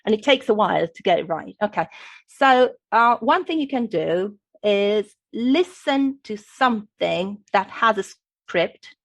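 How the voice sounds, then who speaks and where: A woman's voice: mid-range voice, lively intonation, measured pace, gravelly, a bit bright, no room sound. An Italian woman speaking English with an Italian accent, a linguistics professor patiently explaining to an interviewer as a guest. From Italy. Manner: patient teacherly explaining, often checks with "okay?".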